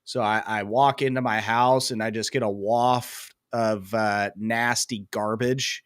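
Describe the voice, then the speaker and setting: A man's voice: lowish voice, fairly even intonation, measured pace, a bit bright, clean tone, dry recording, studio quality. A young man with a head cold, rambling casually as co-host of a sports podcast, full of fillers and self-deprecating asides.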